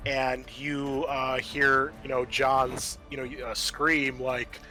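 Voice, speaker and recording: A man working as game master, narrating a tabletop horror game in a halting, descriptive way. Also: mid-range voice, level tone, bright, forceful tone, poor audio.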